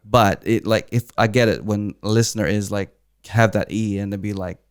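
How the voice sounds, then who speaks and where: A man's voice: fairly low pitch, steady tone, clean tone, dry recording, studio quality. A young man with an American-sounding accent, talking earnestly as a hip hop artist guest on a podcast, leaning on fillers. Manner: earnest, quick, leans on fillers. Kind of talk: casual podcast chat.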